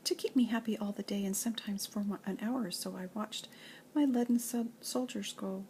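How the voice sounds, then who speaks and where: An older woman, a grandmother, reading nursery rhymes and children's poems aloud in an expressive, playful sing-song way. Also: mid-range voice, fairly quick, a little hiss or hum.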